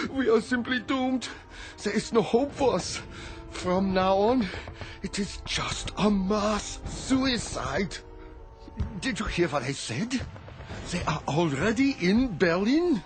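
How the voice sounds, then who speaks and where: An actor playing an exhausted, despairing wartime officer in a radio drama, speaking gravely, formally and urgently. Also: male voice, sits high.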